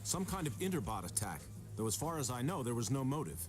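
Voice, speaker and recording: An actor playing an earnest, level-headed superhero in a video game, speaking thoughtfully. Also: male voice, mid-range voice, sing-song, fast talker, music in the background, close to an everyday voice. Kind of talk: video game cutscene acting.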